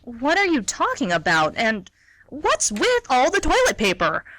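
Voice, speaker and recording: Woman acting a young female teacher, baffled, questioning, highish lively voice, bright tone. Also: measured pace, no echo, exaggerated voice. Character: puzzled young female first-year math teacher. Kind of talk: amateur radio comedy acting.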